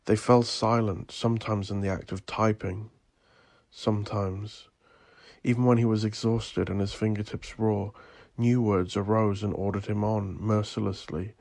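Male horror narrator, low creaky voice, theatrical and ominous, deliberate phrasing, scripted dark fiction. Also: flat delivery, no room sound. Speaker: audio drama narrator.

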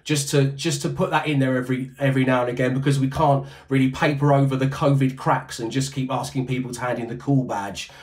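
A British man in his forties chats loosely on a headset from a room at home. He rambles and restarts, sometimes dropping in a wry joke at his own expense. His voice sits at an ordinary pitch. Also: fairly even intonation, fast, few pauses. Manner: rambling, self-deprecating, many restarts. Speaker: radio breakfast show host. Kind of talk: casual interview chat. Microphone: headset on video call.

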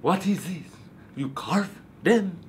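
A man's voice: sits high, takes it slowly, a little hiss or hum. A man playing a cranky, theatrical ancient vampire host with a put-on Transylvanian accent, ranting and swearing comically in character. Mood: pompous. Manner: hammy, ranting, profane.

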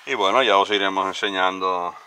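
A man from Spain explains a hatch repair step by step aboard a moored sailboat. His voice sits low but has a bright edge. He is a bit far from the mic, with faint noise behind him. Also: fairly even intonation, brisk. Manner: explains step by step, plain and practical. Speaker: vlog co-host doing boat repairs. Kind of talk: casual how-to explanation.

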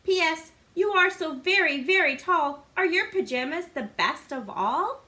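High, bright-voiced woman reading to children. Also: unhurried. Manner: animated, sing-song, asks the audience questions. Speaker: children's read-aloud presenter. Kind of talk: expressive storybook read-aloud for young children. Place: living room indoors. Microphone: device camera a short distance away.

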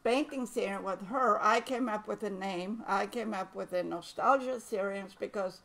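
A woman's voice: mid-range voice, moderate pace, vocal fry, fairly bright tone. An older woman, a guest painter at a winery tasting, chatting modestly and self-deprecatingly about her watercolor labels. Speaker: guest artist, winery founder. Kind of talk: casual chat. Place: winery tasting room, indoors. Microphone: tabletop mic on stand.

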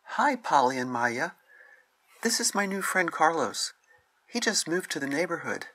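A man's voice: on the high side, big pitch swings, a little slow, sharp tone, breathy edge, exaggerated voice. A man voicing a friendly fifth-grade schoolboy in a scripted children's puppet show.

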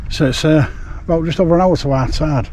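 A man's voice: on the high side, quick pace, a little mellow, a little hiss or hum. A middle-aged man gives a rambling, casual fishing update to camera, outdoors at night. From England. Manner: rambling, chatty, with many fillers. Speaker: fishing vlogger.

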